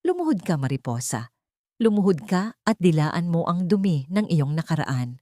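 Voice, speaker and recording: A woman's voice: on the low side, big pitch swings, moderate pace, dark tone, no room sound, clean studio sound. A woman voicing a cruel, haughty older rich matriarch in an audiobook drama, imperious and scornful, with sweetness laced with venom. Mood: cruel commanding.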